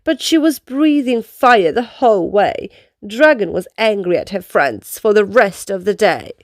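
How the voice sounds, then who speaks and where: A woman's voice: mid-range voice, lively intonation, measured pace, no echo. A woman reading a children's storybook aloud, telling it expressively and explaining its lessons to young listeners. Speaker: children's book reader. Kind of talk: read-aloud storybook narration.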